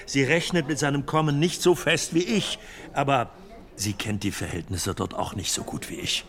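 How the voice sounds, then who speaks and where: An actor playing a cheerful middle-aged gentleman in a radio play, genial and confident as he talks about his son. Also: medium pitch, animated, normal speed. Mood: assured.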